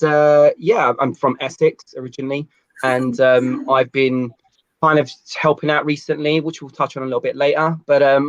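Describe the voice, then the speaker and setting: A man's voice: on the high side, no room sound, highs cut off. A man from London, a guest on a video call, talking casually with many hesitations. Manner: hesitant, lots of ums and kind ofs.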